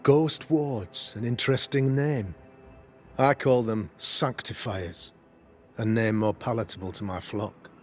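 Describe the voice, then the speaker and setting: A man's voice: fairly low pitch, steady tone, slow, soft background music. An actor playing a self-righteous Puritan witch-hunting governor in a video game, speaking in a formal, preacherly, pompous way. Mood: proud, self-assured. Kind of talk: dramatic video game acting.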